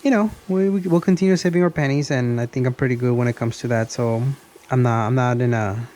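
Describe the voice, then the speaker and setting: A man's voice: mid-range voice, brisk, darkish tone, slight background noise. A man in his early thirties talking in a casual, rambling vlog style, often repeating and restarting his phrases. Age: early 30s. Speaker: car vlogger.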